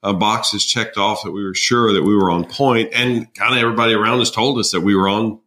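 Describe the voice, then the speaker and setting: A man's voice: lowish voice, fairly even intonation, brisk, sharp tone. A man in his sixties telling personal stories as a podcast guest, emphatic and wryly humorous. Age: 60s. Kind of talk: conversational personal storytelling.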